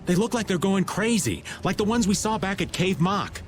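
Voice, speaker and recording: A man's voice: high-pitched, expressive, normal speed, a little hiss or hum. A laid-back, teasing older man. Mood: easygoing. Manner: easygoing, teasing drawl. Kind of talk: video game voice acting. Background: game music.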